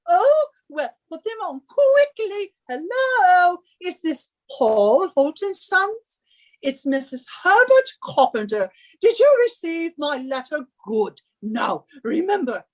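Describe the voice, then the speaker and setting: A woman's voice: very high-pitched, exaggerated voice. A woman playing an imperious, scornful, middle-aged British wife who scolds and gives orders in a radio suspense drama. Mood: brisk, businesslike.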